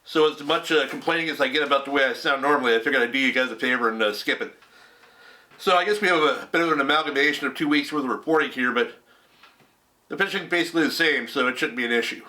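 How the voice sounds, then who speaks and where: Man, fishing report host, bright voice, loose and rambling, southern californian accent. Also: medium pitch, quick pace. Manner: relaxed, rambling with many fillers. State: recovering from a cold. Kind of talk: casual weekly report.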